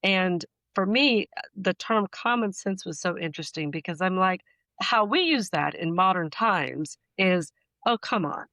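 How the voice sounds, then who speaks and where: Low-pitched American woman, close dry sound. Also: animated, unhurried, fairly bright tone, no room sound. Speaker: guest poet and author. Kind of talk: conversational podcast interview.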